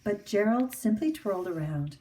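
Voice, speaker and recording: A woman teacher reading a picture book aloud to children in an expressive storybook manner. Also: medium pitch, moderate pace, far from the mic, rough recording.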